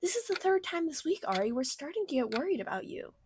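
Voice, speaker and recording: A woman voicing a bubbly, caring female cartoon character in a radio play, chatty, motherly and expressive. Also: high voice, fast, background noise. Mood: concerned. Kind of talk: fictional radio theater acting.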